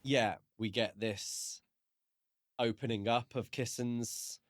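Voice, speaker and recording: A man co-hosting a podcast, discussing a book casually in halting, thoughtful phrases. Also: mid-range voice, steady tone, no echo, clean studio sound. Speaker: podcast co-host.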